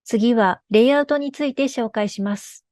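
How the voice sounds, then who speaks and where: A woman's voice: a little high, normal speed, a little mellow, no echo. A woman giving a scripted technical presentation about her studio's work. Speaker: game studio developer presenting a workflow.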